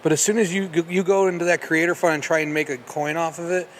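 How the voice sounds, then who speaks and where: Emphatic young man, slightly high voice. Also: fairly even intonation, a bit bright, slight background noise. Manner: quick, emphatic, slangy. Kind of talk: casual chat.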